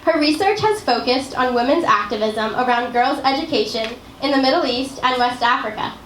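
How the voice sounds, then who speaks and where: A young woman reading a speaker introduction as emcee, in a measured, list-like way. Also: high voice, expressive, a bit bright, slight background noise. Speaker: event emcee. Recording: echoey hall from far off.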